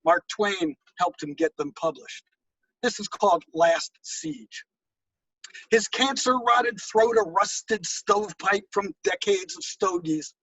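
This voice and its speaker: A man reading his own poetry and introducing it, with a measured, deliberate delivery. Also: high voice, bright, forceful tone, husky, dry recording, poor audio. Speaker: poet reading own work.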